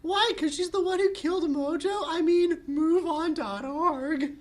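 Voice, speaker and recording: A man voicing a ditzy, sassy young superhero woman in an exaggerated falsetto, in a comedic script read. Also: measured pace. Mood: breezy.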